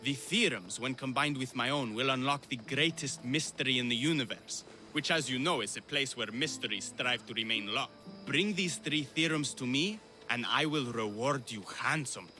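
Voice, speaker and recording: An actor playing an eccentric, smug and witty Greek philosopher in a video game cutscene, playful and theatrical. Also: male voice, mid-range voice, moderate pace, pushed and bright, faint music behind. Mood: grandiose. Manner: self-satisfied, playful, theatrical.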